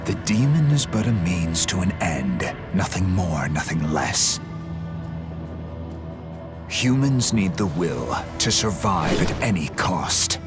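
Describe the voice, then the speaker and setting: A very low-voiced, brooding middle-aged man in a video game. He speaks solemnly and deliberately, cold and resolute, with clearly audible music behind him. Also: very animated, exaggerated voice. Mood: cold conviction. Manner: solemn, measured, dramatic. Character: brooding, severe older male ideologue, cold and resolute.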